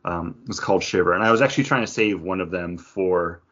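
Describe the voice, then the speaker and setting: A man's voice: sits low, fast, fairly bright tone. A man co-hosting a podcast, chatting casually about things in a rambling, conversational way. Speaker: podcast co-host. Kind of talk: casual chat, craft beer review. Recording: dull, lo-fi audio.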